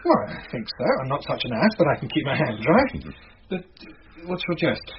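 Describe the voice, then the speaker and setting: An actor playing a gullible, vain, dim-witted gentleman knight who is earnest and eager to please, in radio Shakespeare. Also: on the high side, very animated, normal speed, crowd noise. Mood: puzzled. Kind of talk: BBC radio Shakespeare comedy acting. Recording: dull, noisy, clicky radio broadcast copy.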